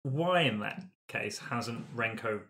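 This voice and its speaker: A man's voice: mid-range voice, lively intonation, slow pace, gravelly, bright, forceful tone. A man chatting casually as a player in a tabletop roleplaying discussion.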